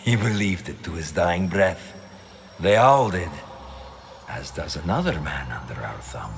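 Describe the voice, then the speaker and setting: Game villain: calculating mastermind, very low register, expressive intonation, measured and menacing. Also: male voice, vocal fry, slight background noise, heavily acted. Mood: cold, assured. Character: cold, calculating Templar mastermind, a grown man, coolly superior. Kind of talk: dramatic video game cutscene acting.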